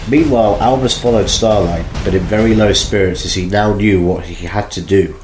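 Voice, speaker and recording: A man narrating an audio drama in a steady reading voice. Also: low voice, roomy sound, a little hiss or hum, poor audio. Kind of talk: read-aloud story narration. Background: music.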